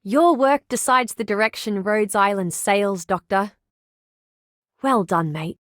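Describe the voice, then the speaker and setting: A woman's voice: mid-range voice, takes it slowly, no room sound, studio quality, lightly acted. A woman voicing an easygoing, friendly young truck driver in a game. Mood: amused, friendly. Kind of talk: game character voice acting.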